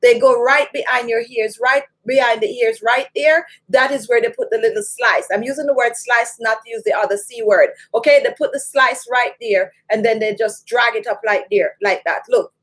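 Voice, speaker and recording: A woman's voice: on the high side, moderate pace, hardly any pauses. A middle-aged Jamaican woman from Jamaica, hosting a skincare tutorial to camera, chatty and emphatic, repeating her key points. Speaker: beauty channel host.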